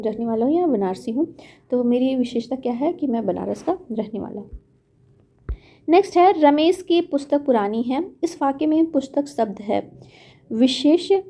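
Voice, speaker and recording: A woman teaching exam-prep grammar, going through questions step by step in a patient way, repeating points and pausing on thinking fillers. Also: high voice, dark tone.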